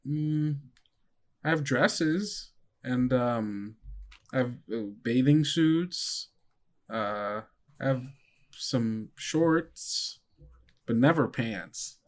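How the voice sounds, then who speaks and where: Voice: medium pitch, very slow, long pauses. A tabletop player voicing a prim, naive schoolkid with an earnest, hesitant manner. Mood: puzzled.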